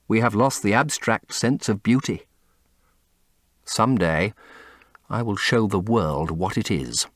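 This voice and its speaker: A man performs an earnest, devoted painter from an audiobook novel. His mid-pitched voice swings widely and expressively, anguished and rhapsodic. The recording is close and dry. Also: no room sound. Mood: indignant. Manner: impassioned, rhapsodic, confessional. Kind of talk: dramatic audiobook character acting.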